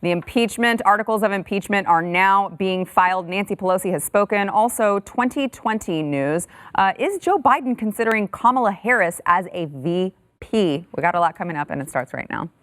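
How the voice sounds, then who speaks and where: A woman's voice: mid-range voice, expressive, normal speed, hardly any pauses. A woman from Texas hosting a news panel show, breezy and joking as she chats with her guests. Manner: breezy, joking, self-mocking. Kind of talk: casual panel chat.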